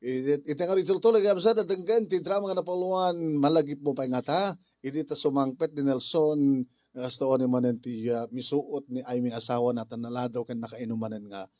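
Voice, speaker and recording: A man with an Ilocano accent chatting casually in a halting, jokey, rambling way. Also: medium pitch, fairly even intonation, mellow, dry recording, clean studio sound. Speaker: radio drama actor and host. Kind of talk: casual chat with bursts of singing.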